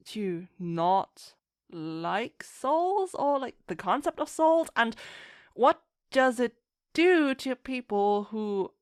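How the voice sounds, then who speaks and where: A woman's voice: mid-range voice, clean tone, no room sound, clean studio sound. A woman chatting casually as an interview guest, rambling enthusiastically and acting out her own thoughts as she goes. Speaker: podcast guest, fan fiction writer.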